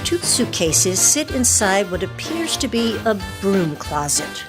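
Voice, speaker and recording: A woman's voice: mid-range voice, sing-song, measured pace. A woman radio host reading a scripted introduction to an audio play. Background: music.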